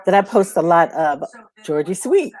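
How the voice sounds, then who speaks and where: A woman's voice: on the low side, big pitch swings, normal speed, darkish tone. A woman co-host in a casual, unscripted chat, effusive and emphatic, often repeating words for emphasis. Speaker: music talk show co-host.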